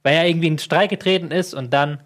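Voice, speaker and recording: A man's voice: on the high side, fast talker, a crowd in the background. A man co-hosting a casual football podcast, speaking hesitantly with many fillers and freely admitting what he does not know. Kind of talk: casual chat.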